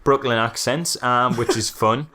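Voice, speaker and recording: A man's voice: mid-range voice, fairly even intonation, measured pace, bright, forceful tone, slight background noise. A man co-hosting a podcast, casually critiquing a film in a sarcastic way. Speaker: podcast co-host.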